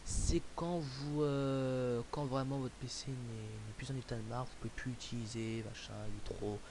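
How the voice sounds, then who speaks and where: A man's voice: mid-range voice, fairly even intonation, normal speed, creaky, a little mellow. A young man from France talking through a computer tutorial in a casual, rambling way, full of fillers and restarts. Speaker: tutorial maker. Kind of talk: casual screen-recorded tutorial. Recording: hissy home recording.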